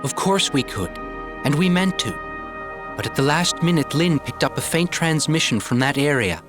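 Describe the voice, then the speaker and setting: A man's voice: fairly high pitch, lively intonation, moderate pace, lightly acted. A man playing a serious mission commander in a sci-fi game, formal and explanatory in manner. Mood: calm, instructive. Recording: music behind the voice.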